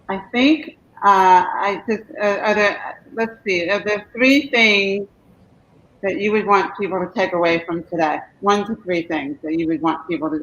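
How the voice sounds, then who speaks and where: A woman hosting a conversational live Q&A, affirming what others say and pausing as she goes. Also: lowish voice, a bit bright. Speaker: host of live Q&A. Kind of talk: conversational interviewing, reading viewer comments. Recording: dull lo-fi stream with background noise.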